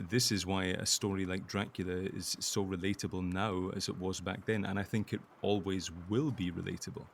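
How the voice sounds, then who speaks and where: Male panel guest, very low and creaky, earnest and halting in conversation, some background noise. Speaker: interview guest, voice actor.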